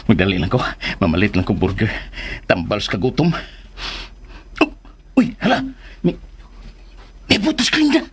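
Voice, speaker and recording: An actor with a Filipino accent playing a comic, anxious, lovestruck suitor talking to himself with exaggerated delivery in a radio drama. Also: male voice, on the low side, wildly swooping pitch, normal speed, pauses often, slight background noise. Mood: hungry, grumbling.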